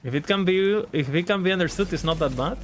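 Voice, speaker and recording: A man's voice: high voice, fast, a little hiss or hum. A young man streaming a game, chatting playfully and joking in mock French. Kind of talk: casual game stream chat.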